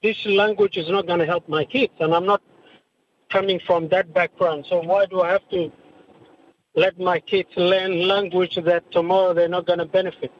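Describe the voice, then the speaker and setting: A man calling in to a radio show, speaking in halting, broken-up phrases. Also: high-pitched, level tone. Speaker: caller. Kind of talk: radio talkback call. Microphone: phone. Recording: muffled phone line.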